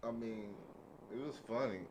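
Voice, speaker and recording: A man's voice: medium pitch, fairly even intonation, normal speed. A man chatting casually and hesitantly as a podcast panelist. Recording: quiet, clearly noisy background.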